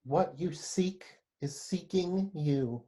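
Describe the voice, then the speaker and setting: A man's voice: a little high, takes it slowly, dark tone, a little hiss or hum. A man in a play reading over video call, playing a shy, earnest young poetry lover, hesitant and apologetic. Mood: reverent, hushed.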